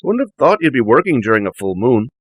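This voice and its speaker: A man's voice: medium pitch, sing-song, quick pace, breathy edge, no room sound, narrow, tinny audio. A man playing a polite, knowing sorcerer in a radio play, with a prim and courteous manner. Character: polite, knowing male sorcerer, brisk customer.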